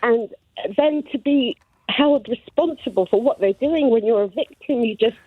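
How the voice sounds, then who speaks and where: A woman's voice: a little high, moderate pace, slightly rough, no room sound. A woman in her thirties, born in Somalia, calling in to a radio phone-in and telling her story earnestly. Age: 30s. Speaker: caller. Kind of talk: phone-in call. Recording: tinny phone line.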